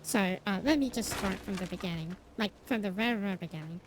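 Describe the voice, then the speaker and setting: A woman's voice: mid-range voice, fast talker, background noise. A woman streamer, not a native English speaker, chatting casually with her viewers. She rambles with lots of fillers and restarts, laughing at herself now and then. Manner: self-deprecating, laughs at herself. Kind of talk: casual chat with stream viewers while gaming.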